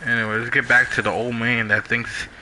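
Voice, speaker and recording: A man's voice: medium pitch, flat delivery, a little fast, pushed and bright, a little hiss or hum, poor audio. A man giving casual livestream commentary while playing a game. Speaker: game streamer.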